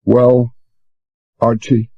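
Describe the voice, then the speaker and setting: Man voicing a young woman, tearful, frightened, breathless, higher voice, dry sound. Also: monotone, drawn-out words, warm tone, no room sound, lo-fi sound.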